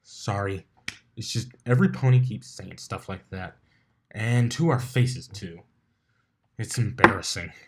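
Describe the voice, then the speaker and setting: A man's voice: fairly low pitch, a little slow, fairly bright tone, slightly rough, exaggerated voice. A man voicing a touchy, easily flustered young male pegasus pony in a fan fiction reading. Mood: embarrassed. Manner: indignant, stammering. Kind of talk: acted fan fiction dialogue.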